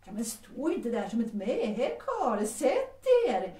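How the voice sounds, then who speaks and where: A woman's voice: a little high, big pitch swings, warm tone. A woman playing a proud, resolute middle-aged widowed mother in a 1930s audio drama, composed, formal and commanding. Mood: cool, formal. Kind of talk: Swedish audio drama acting. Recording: roomy, distant from the mic.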